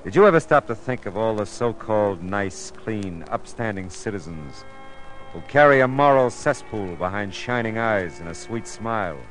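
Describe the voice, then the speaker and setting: An actor playing a world-weary American private detective in an old radio drama, laconic, wry and deadpan. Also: male voice, fairly low pitch, moderate pace, soft background music. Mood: wry, brooding. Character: hard-boiled world-weary private detective, grown man. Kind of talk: 1950s American radio drama acting and narration. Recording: noisy old radio broadcast recording.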